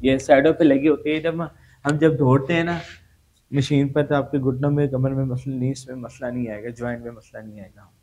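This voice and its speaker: A man's voice: medium pitch, fairly even intonation, brisk, darkish tone. A man with a Pakistani Urdu accent, working as a salesman, politely explaining treadmill features in a showroom and calling the host sir. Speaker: shop salesman. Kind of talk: product explanation. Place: indoor gym equipment showroom.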